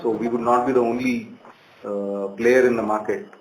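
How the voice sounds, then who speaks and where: A man with an Indian accent speaking as a company executive, giving measured, halting explanatory answers on a conference call. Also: medium pitch, fairly even intonation. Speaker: bank executive answering analyst questions. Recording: roomy conference call, faint noise.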